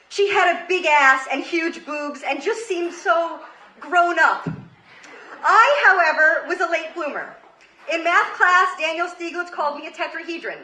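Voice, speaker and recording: A woman from Queens, New York, reading a scripted comedic story live on stage, with theatrical, deliberate delivery and pauses for punchlines. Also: high-pitched. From Queens, New York, United States. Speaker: comedy show host and storyteller. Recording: roomy live stage recording, faint noise. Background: audience ambience and faint noise.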